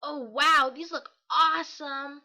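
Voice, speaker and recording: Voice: sits high, steady tone, slow pace, sharp tone, voice pushed up, heavily acted. A reader voicing a brash, cocky young female pegasus pony from a cartoon, a playful tomboy with a casual, impatient manner. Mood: eager, impatient. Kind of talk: cartoon character in audiobook reading.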